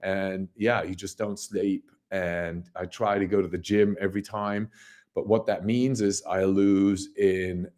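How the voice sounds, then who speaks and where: A man with a slightly hoarse voice hosting a casual livestream market commentary, rambling and emphatic, repeating phrases and posing rhetorical questions. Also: deep voice, flat delivery, a little slow, darkish tone. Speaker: livestream host, company founder.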